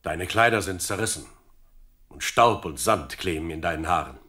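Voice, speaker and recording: An actor playing a grieving, pious warrior in a Bible radio play, speaking gravely and solemnly. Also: male voice, sits low, animated, bright, forceful tone, full character voice. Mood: stern, questioning. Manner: slow, grave, declamatory.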